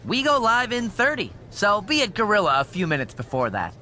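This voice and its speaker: A woman's voice: medium pitch, very animated, a little fast, sharp tone. A woman playing a breezy, smug backstage assistant in a wrestling game, with a chipper, dismissive, needling manner. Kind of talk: acted video game cutscene dialogue.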